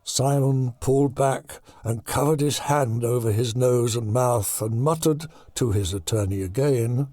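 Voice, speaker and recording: A man narrating a horror audiobook in a deliberate, dramatic storytelling style. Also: medium pitch, mellow, no echo.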